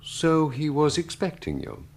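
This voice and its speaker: A man's voice: fairly high pitch, big pitch swings, unhurried, darkish tone, exaggerated voice. An actor playing a stern, sceptical inquest examiner in a radio drama, pompous and incredulous as he puts clipped questions. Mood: probing. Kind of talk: radio drama courtroom acting.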